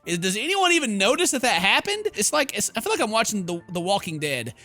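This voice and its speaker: A man with a high, bright voice comments casually on a game as he plays, joking and exclaiming. Faint music runs behind him. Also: big pitch swings, quick pace. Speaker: gaming video host. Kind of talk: casual game playthrough commentary, reading game text aloud.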